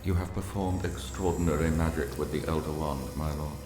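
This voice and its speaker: An actor playing a grave, deliberate middle-aged wizard professor in a video game, echo and loud music. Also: male voice, rumbling low, steady tone. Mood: grave, deferential.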